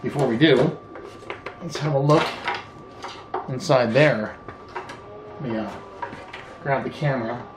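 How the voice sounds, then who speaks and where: Man talking through a repair, echoey room. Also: medium pitch. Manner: unhurried thinking aloud, trailing pauses. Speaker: hobbyist video presenter. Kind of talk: unscripted hands-on repair walkthrough. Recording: echoey room with faint background noise.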